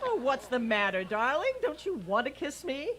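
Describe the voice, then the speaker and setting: A woman's voice: high voice, sing-song, moderate pace, fairly bright tone. A woman playing a wry, lovestruck, scheming schoolteacher in a vintage radio sitcom, arch and gushing with endearments. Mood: arch, insistent. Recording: noisy live raw event recording.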